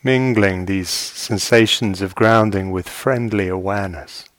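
A man guiding a meditation as a teacher, speaking gently and in measured short phrases with long pauses. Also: fairly low pitch. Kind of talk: guided meditation. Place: meditation retreat hall.

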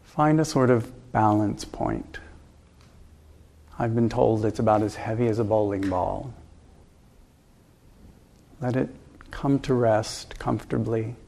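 A man leading a guided meditation as a teacher, giving calm, gentle instructions with unhurried pauses. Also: on the low side, creaky.

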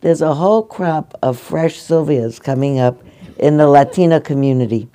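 Older woman, meditation teacher, mellow and gentle, halting delivery. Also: low-pitched. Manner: gentle, halting, restarts often. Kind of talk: dharma talk with audience questions. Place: meditation hall.

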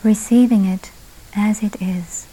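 A woman guides a meditation at a very slow pace. Her voice is mellow and relaxed, with little rise and fall in pitch. She speaks in sparse phrases that trail into soft, breathy endings. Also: poor audio. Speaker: meditation teacher.

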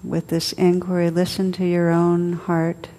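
A woman leading a guided meditation as a teacher, soothing and unhurried, speaking in short phrases with long pauses. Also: lowish voice, completely flat delivery, dark tone. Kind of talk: guided meditation, spoken to a group.

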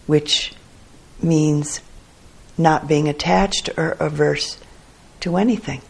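A woman meditation teacher guiding a sitting practice, speaking gently and unhurriedly in short phrases broken by long pauses. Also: low voice, vocal fry, breathy edge. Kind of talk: guided meditation instruction. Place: meditation hall.

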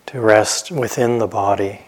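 A man with a low, husky voice leads a guided meditation. He speaks very slowly and gently, leaving long pauses between short phrases as a teacher would.

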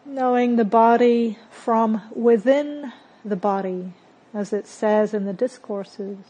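A woman leads a guided meditation at a very slow pace. Her voice sits a little on the high side. She speaks calmly and gently, in short phrases with long, unhurried pauses. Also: low-quality recording. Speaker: meditation teacher. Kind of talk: guided meditation, body scan.

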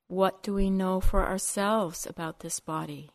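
A woman teaching a guided meditation in measured, short phrases separated by long pauses. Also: mid-range voice, fairly even intonation.

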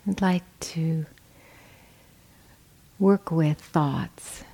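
Slow, low-voiced woman guiding meditation. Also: steady tone, slightly rough. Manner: measured, gentle phrasing with long pauses. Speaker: meditation teacher. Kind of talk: guided meditation instruction.

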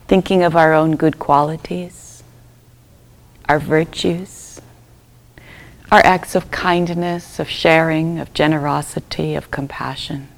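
A woman leading a guided meditation as a teacher, speaking gently and softly in short phrases with long pauses and breathy endings. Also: low voice, fairly even intonation. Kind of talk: guided loving-kindness meditation.

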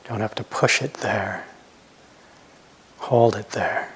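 A man guiding a meditation as a teacher, speaking gently in short, unhurried phrases with long pauses. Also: on the low side, steady tone, slightly rough, low-quality recording. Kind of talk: guided meditation instruction.

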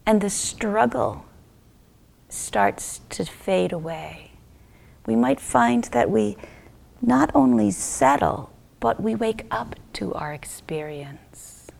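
Slow, creaky-voiced woman guiding meditation. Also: medium pitch, animated. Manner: gentle, unhurried, with long pauses between short phrases. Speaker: meditation teacher. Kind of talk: guided meditation.

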